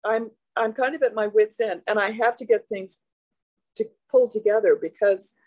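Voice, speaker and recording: A woman in her seventies, a guest talking candidly and a little haltingly about her experience. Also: mid-range voice, steady tone, darkish tone, no room sound, poor audio. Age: 70s. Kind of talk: interview.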